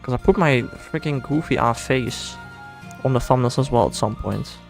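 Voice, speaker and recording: A man's voice: mid-range voice, normal speed, gravelly, soft background music. A man from the Netherlands with a Dutch accent, chatting casually on a live stream and rambling in a self-deprecating way. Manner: rambling, self-deprecating, thinks aloud with restarts.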